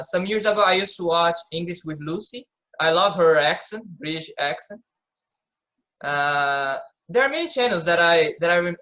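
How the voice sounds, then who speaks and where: Man, late twenties, bright tone, halting repetitions. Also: sits high. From Brazil. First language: Portuguese. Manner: halting, repeats words while searching. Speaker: participant. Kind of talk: casual group discussion.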